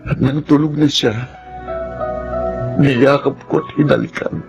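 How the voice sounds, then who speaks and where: An actor playing a troubled young Filipino lawyer in a radio drama, hesitant and confessional. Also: male voice, mid-range voice, steady tone, measured pace, gravelly, dull audio. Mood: remorseful confession. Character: troubled, soft-spoken young male lawyer with a secret. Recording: music behind the voice.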